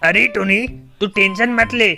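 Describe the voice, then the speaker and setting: Man voicing a sharp, creaky scheming cartoon owl. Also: high voice, steady tone, a little slow, soft background music, heavily acted. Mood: reassuring. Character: scheming male cartoon owl, falsely kindly, greedy.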